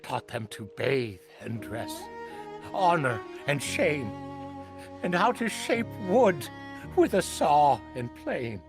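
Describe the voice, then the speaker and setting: Casting: an aging game master-teacher, slow and grieving, bitter, swinging wildly in pitch. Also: sing-song, over music. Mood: grieving, wistful. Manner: theatrical, impassioned, bitterly rhetorical. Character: aging, grief-stricken master mourning lost disciples, bitterly defiant. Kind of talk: dramatic video game acting.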